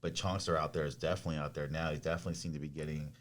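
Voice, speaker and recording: A man's voice: rumbling low, fairly even intonation, racing, over a noisy crowd. An American man in his forties hosting a podcast, chatting casually as he interviews guests. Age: 40s. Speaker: podcast host.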